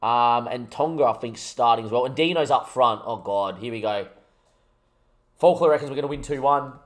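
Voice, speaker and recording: A young man commentating his football management game on a live stream, chatting to viewers and rallying with excitable talk. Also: medium pitch, moderate pace. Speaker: gaming streamer.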